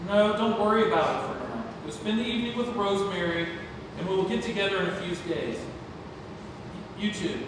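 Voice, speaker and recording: A man's voice: sits high, fairly quick, lightly acted. A man playing a busy, distracted but earnest father in an amateur stage play reading. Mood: brisk. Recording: distant echoey hall, noisy.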